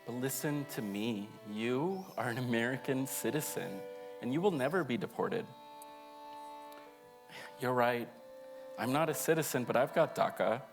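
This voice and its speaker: A man's voice: mid-range voice, expressive, moderate pace, pauses often, music in the background. A young man reading an autobiographical monologue aloud in an earnest, emotive storytelling way. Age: young adult.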